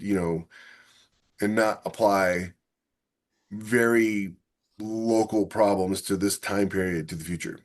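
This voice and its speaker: A man with a fairly low voice talks as a podcast co-host. His delivery is casual and conversational, and he argues his opinions with illustrative mock quotes. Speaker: podcast co-host and science fiction author.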